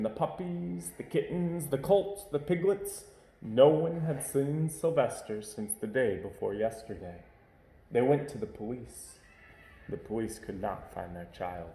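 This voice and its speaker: A man reading a children's storybook aloud at home, with an expressive, varied delivery. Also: on the high side, reverberant, slight background noise, low-quality recording.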